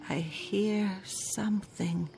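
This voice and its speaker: A woman's voice: mid-range voice, takes it slowly, gravelly, mellow, soft background music. An actress playing a timid, doubtful old woman in a radio drama, tentative and questioning.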